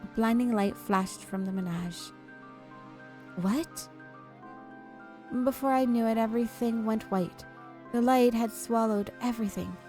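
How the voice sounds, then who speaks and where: A woman reading a visual novel aloud for a gameplay video, expressive and dramatic, drawing out her delivery. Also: a little high, normal speed. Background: game music.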